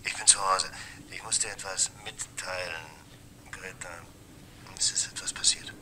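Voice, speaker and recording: An actor playing a middle-aged widowed husband in a crime drama, measured, halting and evasive. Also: male voice, low voice, fairly even intonation, whispered, lightly acted. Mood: subdued, hesitant. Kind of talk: German television crime drama acting.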